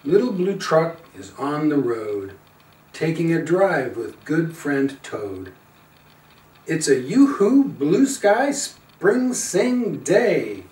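An older man, around seventy, reading a children's picture book aloud in an expressive, singsong and playful way. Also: on the high side. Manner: slow, singsong, playful calls with quieter asides. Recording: roomy, a little far from the mic.

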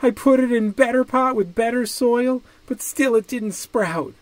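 A man's voice: extremely high pitch, unhurried, no echo, pitched above the performer's own voice. A man voicing an earnest, ashamed young boy pleading in a children's storybook. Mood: upset, pleading. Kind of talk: children's storybook read-aloud.

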